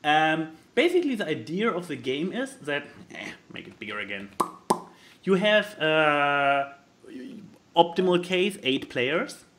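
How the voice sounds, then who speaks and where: A man who is not a native English speaker, chatting casually as a podcast co-host and haltingly searching for words as he explains things. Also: a little high, very animated, fairly bright tone. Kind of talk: casual chat explaining a board game.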